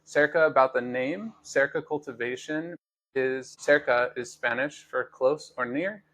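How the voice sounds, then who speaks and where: A man's voice: mid-range voice, unhurried, a bit bright, rough recording. A man hosting a podcast, explaining his work in an earnest, thoughtful way with frequent fillers and restarts. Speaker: podcast host, grower. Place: Los Angeles studio.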